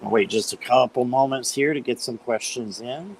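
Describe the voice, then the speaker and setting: A man with a slight cold and a hoarse voice, chatting casually as he hosts a live tarot stream and greets viewers by name. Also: mid-range voice, normal speed, darkish tone, slight background noise.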